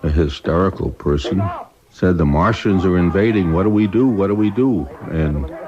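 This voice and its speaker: Man reminiscing, deep voice, expressive delivery, anecdotal interview style, muffled archival recording. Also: normal speed, a crowd in the background. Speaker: interviewee, former radio publicity manager. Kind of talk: animated spoken reminiscence.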